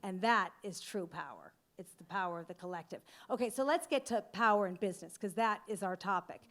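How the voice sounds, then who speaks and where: A woman moderating a business panel, forceful and rallying, with punchy declarations. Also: medium pitch, animated, a little fast. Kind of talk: panel discussion.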